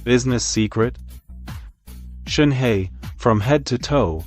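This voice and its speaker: A man's voice: mid-range voice, slow pace, a little mellow, soft background music, natural voice. An actor playing a powerful, cold and disdainful company chairman with a cool, mocking manner in an audio drama. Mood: coolly mocking. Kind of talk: melodramatic dubbed audio drama acting.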